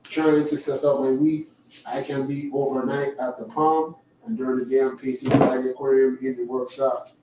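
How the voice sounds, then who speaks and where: A man's voice: mid-range voice, steady tone, dark tone, slight background noise. A man who talks in loose, teasing asides, ribbing his company and drifting between topics. He sits back from the microphone, so his voice sounds distant. The room adds a heavy echo. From Caribbean. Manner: teasing, talkative, quick asides. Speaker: livestream host. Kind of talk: casual chat. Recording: echoey room, away from mic.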